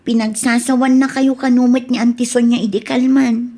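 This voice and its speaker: A woman's voice: a little high, flat delivery, normal speed, dark tone. A woman acting an earnest, protective adult daughter in an Ilocano-accented radio drama. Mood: indignant. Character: protective, indignant adult daughter fretting over her father.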